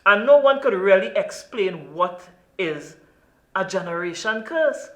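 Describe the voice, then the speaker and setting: A woman's voice: medium pitch, animated, measured pace, fairly bright tone, reverberant. A woman from Trinidad and Tobago with a Trinidadian accent, hosting an interview in an earnest, emphatic way. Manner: earnest, emphatic, lots of "you know". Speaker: talk show host.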